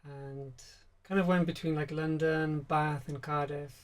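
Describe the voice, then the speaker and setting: A man from Spain talks as a musician guest in an unhurried interview. His delivery stays level and flat, with little rise or fall, and he pauses often to hunt for words. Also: a little high. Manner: soft-spoken, many fillers, flat and even delivery. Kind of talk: relaxed interview conversation.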